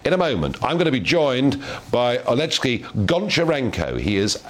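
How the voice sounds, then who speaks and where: A man hosting a broadcast talk show, giving opinions forcefully and interviewing a guest. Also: mid-range voice, wildly swooping pitch, moderate pace, a little hiss or hum.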